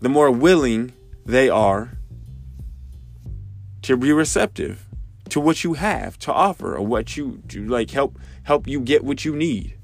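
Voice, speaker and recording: Man, congested, slow-talking, rambling podcast host, faint guitar behind. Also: mid-range voice, animated, creaky. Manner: rambling, many fillers and restarts. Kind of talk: casual spoken reflection.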